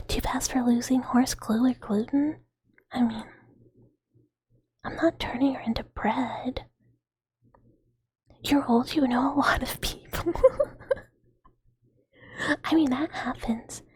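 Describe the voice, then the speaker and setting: A woman's voice: high-pitched, a little mellow, breathy edge. A woman in her thirties, whispering and giggling through a casual livestream chat as she answers comments from viewers. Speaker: streamer.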